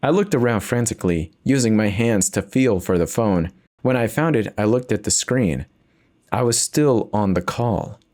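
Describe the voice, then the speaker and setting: A man's voice: lowish voice, expressive, normal speed, darkish tone. A man giving an intense, theatrical first-person reading of a horror story as its narrator.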